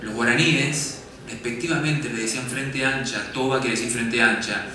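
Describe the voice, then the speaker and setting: A man's voice: mid-range voice, fairly even intonation, normal speed, a crowd in the background. Man echoing in a big hall. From Argentina. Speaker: documentary filmmaker on a panel. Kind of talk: panel discussion after a screening. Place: festival screening hall. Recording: very echoey hall, distant mic, background noise.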